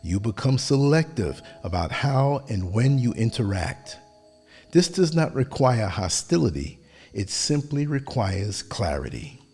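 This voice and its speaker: A man with a husky, worn-down voice that sounds close to giving out, delivering a podcast monologue in measured, emphatic sentences. His pitch swings widely as he stresses each point. Soft music plays faintly underneath. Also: big pitch swings. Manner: measured, emphatic delivery in short declarative lines. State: hoarse, losing his voice. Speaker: podcast host. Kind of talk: motivational monologue on relationships.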